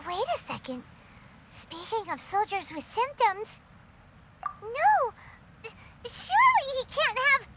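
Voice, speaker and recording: A woman voicing a tiny, excitable, fretful childlike fairy companion in an animated video game style, with a squeaky voice. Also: slow, pauses often, noisy background, full character voice. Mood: worried. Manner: refers to self in third person, exclamatory. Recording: music playing loudly behind.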